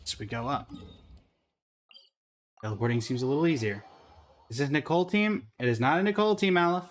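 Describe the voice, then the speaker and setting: A man's voice: medium pitch, a little fast, slight background noise. A man doing casual livestream game commentary, talking in short remarks to himself and to the characters on his screen.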